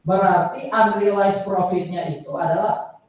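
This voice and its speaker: In a strongly reverberant room, picked up from a distance, an Indonesian man lectures in a high-pitched voice. He explains informally, circling back over points and putting questions to his listeners. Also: steady tone, normal speed, darkish tone. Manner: conversational, repeats points and poses questions to listeners. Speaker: accounting lecturer. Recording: echoey room, distant microphone.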